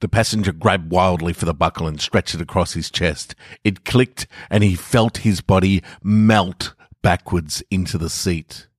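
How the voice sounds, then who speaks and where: A man works as a podcast host and story reader. His voice is on the low side and his delivery is unhurried and slow. The sound is dry, with little room in it. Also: breathy edge, dry recording. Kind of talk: scripted story narration.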